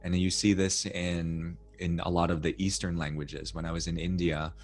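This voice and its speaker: A man's voice: low-pitched, flat delivery, normal speed, soft background music. A man hosting a podcast interview, sharing reflective personal stories in a conversational way. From United States. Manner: reflective, storytelling. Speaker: podcast host.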